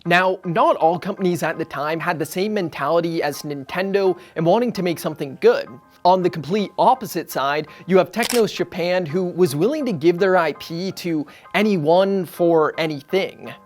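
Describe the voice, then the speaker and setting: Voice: mid-range voice, moderate pace. Casual video essay narrator over music. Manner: casual, conversational phrasing. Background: background music.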